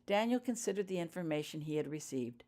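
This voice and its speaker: A woman's voice: on the low side, animated, quick pace, warm tone. A woman reading her own fiction aloud as audiobook narration, telling the story in character-focused prose.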